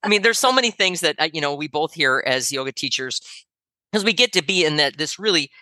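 A middle-aged woman talks thoughtfully on a podcast, encouraging and unhurried, with plenty of "you know"s. Her voice is bright, and the recording is close and dry. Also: sits low, animated, dry recording. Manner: warm, encouraging, thoughtful, many fillers. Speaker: podcast co-host, yoga teacher. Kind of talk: casual podcast chat.

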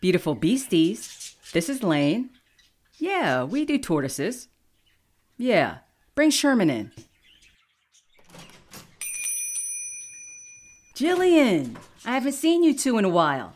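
A woman's voice: medium pitch, huge pitch swings, unhurried, slight background noise. A woman playing a kindly, frank veterinarian with a casual manner, in a naturalistic audio drama. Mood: cheerful, welcoming.

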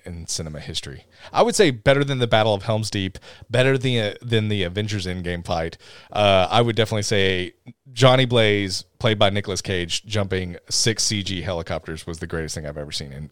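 Sarcastic man with a smooth low voice. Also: no echo, clean studio sound. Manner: sarcastic, jokey, quotes movie lines in funny voices. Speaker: podcast co-host. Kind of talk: casual movie review chat.

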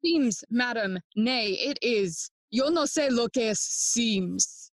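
A grieving young royal in a Shakespearean radio play, bitter and restrained. The voice is on the high side and very slow, each word weighed down with sorrow, in a dry close recording. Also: female voice, animated, no room sound, heavily acted. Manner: slow, deliberate, heavy with grief. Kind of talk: bilingual Shakespearean audio play acting.

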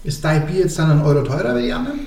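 A man's voice: a little high, expressive, very fast, vocal fry, a little mellow. A man interviewing casually in colloquial German phrasing, often interjecting, recorded with a roomy echo.

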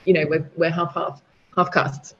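A woman's voice: low-pitched, level tone, moderate pace, a bit bright, breathy edge. A middle-aged woman, a guest muralist, chatting casually in an interview, laughing easily and restarting her sentences. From Portugal and Britain. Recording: dull lo-fi sound.

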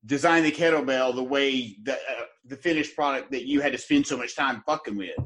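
A man's voice: medium pitch, fairly quick, a bit bright. A man hosting a podcast interview, asking rambling questions in a casual way and swearing freely. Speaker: podcast host.

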